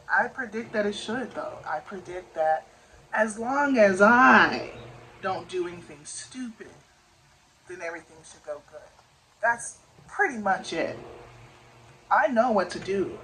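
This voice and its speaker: A woman's voice: mid-range voice, expressive, unhurried, sharp tone, slight background noise, poor audio. A woman in her twenties talking casually to camera in a vlog, thinking aloud and often asking "you know?". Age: 20s. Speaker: vlogger.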